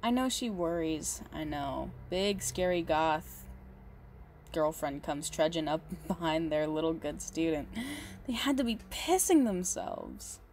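Yandere goth girlfriend played by a woman for ASMR roleplay: lowish female voice, unhurried, possessive, sweet with a menacing edge. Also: lively intonation, a little mellow, slight background noise. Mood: teasing, affectionate. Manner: slow, halting, doting, menacing under sweetness. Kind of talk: intimate ASMR roleplay monologue.

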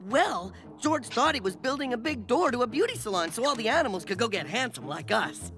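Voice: mid-range voice, big pitch swings, fairly quick, music in the background, close to an everyday voice. An actor voicing a friendly, dim-witted jungle man in a cartoon, naive, eager and sometimes hesitant. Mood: sheepish. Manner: naive, hesitant, speaks of himself in third person. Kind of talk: animated cartoon acting.

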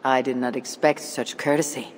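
An actress playing a calm, direct Norse warrior woman in a video game cutscene. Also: extremely low pitch, normal speed, big-room sound, a little hiss or hum. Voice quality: plain, direct.

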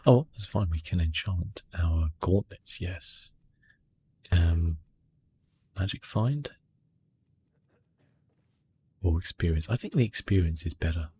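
A man giving soft, hushed ASMR gameplay commentary in a relaxed, unhurried manner. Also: deep voice, slight background noise. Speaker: gaming streamer, host.

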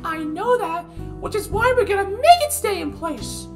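A woman's voice: very high voice, very animated, moderate pace, over music, heavily acted. A woman voicing a scatterbrained, well-meaning animatronic chicken in an amateur cartoon skit, eager and earnest.

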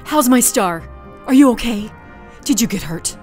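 An actress playing an encouraging, fussing drama teacher in a high school radio play. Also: female voice, animated, normal speed, a little mellow, soft background music, close to an everyday voice. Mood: concerned.

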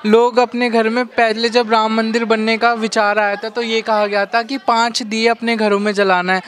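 Earnest high-voiced man. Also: level tone, normal speed, a bit bright, a little hiss or hum. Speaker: newsroom colleague interviewed.

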